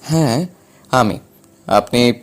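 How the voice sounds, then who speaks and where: A man's voice: mid-range voice, slow pace, natural voice. A man playing a flustered, cheeky but timid younger brother in an acted audio story. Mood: uneasy.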